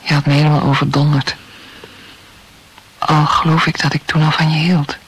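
A woman's voice: deep voice, little pitch movement, normal speed, slight background noise, rough recording. An actress playing a hurt young woman in love, plaintive and accusing, in an intimate radio play. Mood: reproachful.

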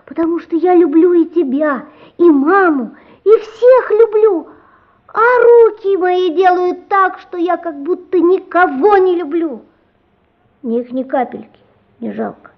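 A woman playing an anguished, pleading little boy in a radio play, earnest and frightened, speaking in emotional, halting phrases. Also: very high-pitched, phone-quality sound. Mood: pleading, tearful. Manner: emotional, halting, breathless whispers and cries.

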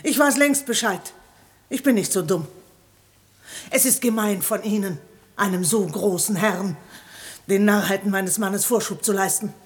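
An actress playing a sharp-tongued, defiant wife in a 1960 radio comedy, scolding and sarcastic. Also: female voice, mid-range voice, measured pace, long pauses, lightly acted.

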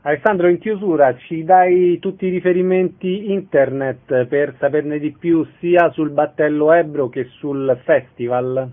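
Male radio host, fairly high voice, thin narrow-band sound, interview presenting. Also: a little slow, few pauses.

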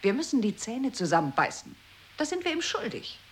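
An actress in a radio play, playing a grim woman who delivers news in clipped, deadpan pronouncements. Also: fairly high pitch, brisk. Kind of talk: 1980s radio play acting.

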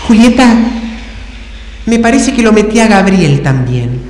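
A woman's voice: medium pitch, big pitch swings, measured pace. Woman playing a bossy Argentine schemer, echoey room. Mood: excited. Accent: Rioplatense Argentine. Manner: conspiratorial, bossy, chatty. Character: bossy scheming female friend, an excitable amateur inventor. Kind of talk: improvised stage comedy. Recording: echoey hall, clearly noisy.

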